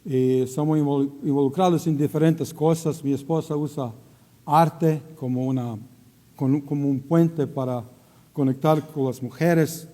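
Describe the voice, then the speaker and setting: A middle-aged man with a Croatian accent speaks slowly, in broken Spanish, often stopping to find a word and slipping into English now and then. His voice is mellow and sits a little high for a man. It rings with the long echo of a church hall. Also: steady tone. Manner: halting non-native Spanish, searching for words, slipping into English. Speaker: missionary. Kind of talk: church greeting and testimony. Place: church sanctuary.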